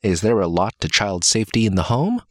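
A man reading lines of a scripted dialogue slowly and carefully, as in a listening test. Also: lowish voice, sing-song, no echo, studio quality.

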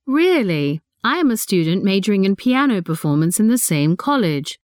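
Woman, lively pitch, smooth clear voice, dry studio sound, textbook reading. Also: moderate pace, dry recording. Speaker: voice actor in a listening test. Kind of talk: clear, deliberate scripted dialogue reading.

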